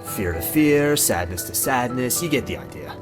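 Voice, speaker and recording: A man's voice: medium pitch, lively intonation, close to an everyday voice. A man voicing a friendly, awkward young ghost in a visual novel, rambling and correcting himself in an earnest way. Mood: awkward, explaining. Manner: halting, rambling, self-correcting. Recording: music and night insects behind. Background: music, crickets and frogs.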